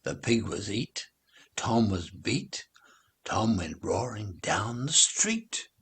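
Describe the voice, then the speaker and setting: Man, very rough husky voice, slow pace, theatrical and playful, children's rhyme reading. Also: lowish voice, sing-song, fairly bright tone. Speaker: reader of nursery rhymes. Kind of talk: expressive children's rhyme recitation.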